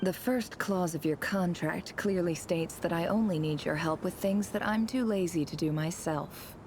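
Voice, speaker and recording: An actress playing a sly, commanding woman boss in a video game, with a deliberate, sardonic delivery. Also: lowish voice, darkish tone, slight background noise, lightly acted. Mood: cool, measured. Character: sly, commanding woman boss, coolly teasing and self-assured.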